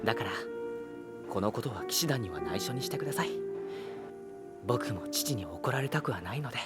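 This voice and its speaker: Man acting courteous young knight over loud music. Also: lowish voice, expressive, close to an everyday voice. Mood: polite, composed. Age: young adult. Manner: courteous, measured, gently guarded. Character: polite, composed young knight commander's son. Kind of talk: Japanese video game voice acting. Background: loud background music.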